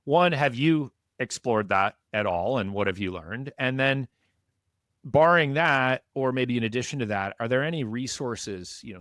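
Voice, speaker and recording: Low-voiced male podcast host, dry sound. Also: a bit bright, dry recording. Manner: curious, affirming, asks long questions. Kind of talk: conversational interviewing.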